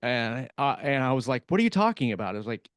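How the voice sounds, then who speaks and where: A man talks through an old memory on a podcast, stopping and restarting his sentences often with fillers. His voice sits at an ordinary middle pitch. The sound is dry and close, with no room around it. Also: sing-song, clear, resonant tone, dry recording. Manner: halting, many fillers and restarts. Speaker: podcast co-host. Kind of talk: casual storytelling.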